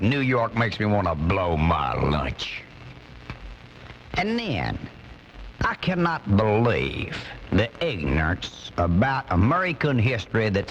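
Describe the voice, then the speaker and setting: A man's voice: fairly low pitch, slight background noise. A man playing an angry, blustering redneck commentator in a comic radio monologue, ranting in folksy, ungrammatical indignation. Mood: exasperated, ranting. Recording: muffled off-air cassette radio recording, music.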